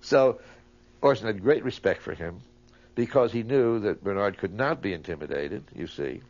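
Lowish-voiced man telling anecdotes. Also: expressive, normal speed, a little mellow. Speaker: interviewee recalling colleagues. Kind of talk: animated anecdotal reminiscence. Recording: dull, muffled interview recording.

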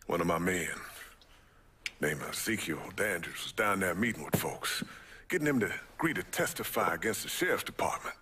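A man's voice: low-pitched, lively intonation, normal speed, bright, forceful tone, slight background noise. A man playing a grave, burdened middle-aged American civil rights leader in a video game, speaking emphatically. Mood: grim, angry. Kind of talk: video game cutscene acting.

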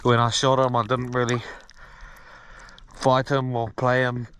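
A man's voice: medium pitch, monotone, measured pace. A young man, a spearfishing vlogger who narrates his dives in a laid-back, slangy way and talks to his action camera while floating at sea. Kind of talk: voice-over narration and in-water commentary. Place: at sea, in the water, outdoors. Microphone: action camera on his head. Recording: music under the narration; water noise in the field.

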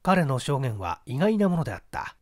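A man's voice: mid-range voice, big pitch swings, a little fast, a little mellow. A man reading a literary mystery story as a scripted narration. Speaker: audiobook reader, stage actor.

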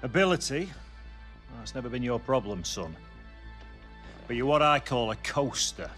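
An actor playing a stern middle-aged football coach in a video game, blunt and measured, with music behind him. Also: male voice, mid-range voice, animated, fairly bright tone, natural voice. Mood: stern lecturing. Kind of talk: video game cutscene acting.

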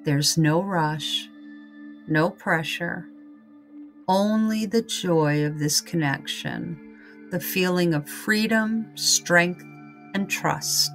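A woman guiding a scripted meditation in a calm, unhurried, soothing manner. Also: fairly low pitch, soft background music. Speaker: meditation guide. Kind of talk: scripted guided meditation narration.

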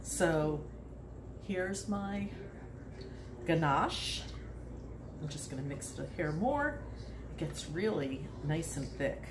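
A woman's voice: low voice, animated, takes it slowly, pauses often. Chatty older woman in an echoey kitchen. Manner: chatty, rambling, laughs often. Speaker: home cooking video host. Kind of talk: casual cooking demonstration. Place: home kitchen, indoors. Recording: echoey kitchen with background noise.

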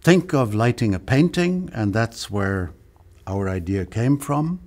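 An older man with a lowish voice walks through a piece of lighting gear in an unhurried, measured way. He shows each part with his hands as he goes. It was recorded in a studio with off-camera sound. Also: expressive, darkish tone. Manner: demonstrates with his hands while explaining. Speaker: lighting product designer. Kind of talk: explaining a product on camera.

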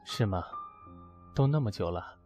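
Proud, wounded young lover played by a man for audio drama; curt, animated delivery. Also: lowish voice, a little slow, muffled recording, music in the background. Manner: curt, emotional. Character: proud, hurt young man, prickly toward his lover. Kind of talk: audio drama acting in Mandarin.

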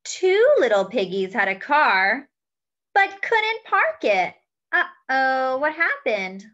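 Young woman, children's storytime reader, sing-song and bright, slightly muffled home webcam sound. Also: high voice, big pitch swings. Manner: cheerful, slow and sing-song, counting aloud. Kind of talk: reading a picture book aloud to children. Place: small room at home, indoors.

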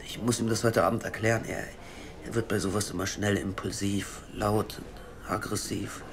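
A man's voice: on the low side, fairly even intonation, moderate pace, noisy background, lightly acted. An actor playing a stammering, upset young man. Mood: hushed, anxious. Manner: agitated, stammering, whispering. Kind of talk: audio drama acting.

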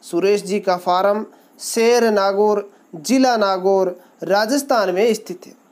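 A man from Rajasthan hosting his channel, giving a promotional voice-over that lists a cow's features in a steady, formal sales tone. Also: sits high, takes it slowly. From Rajasthan, India. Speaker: channel host, livestock seller presenter. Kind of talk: promotional voice-over describing a cow for sale.

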